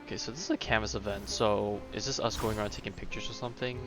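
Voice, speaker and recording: Low-voiced man streaming a game, rambling casually. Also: fairly even intonation, quick pace. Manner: hesitant, rambling, thinking aloud. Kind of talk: casual livestream gaming commentary. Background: game music.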